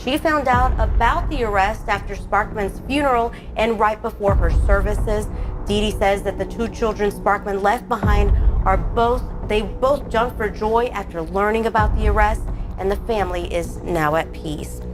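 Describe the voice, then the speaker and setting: A woman's voice: mid-range voice, normal speed, over music. A woman delivering a scripted television news report as a reporter.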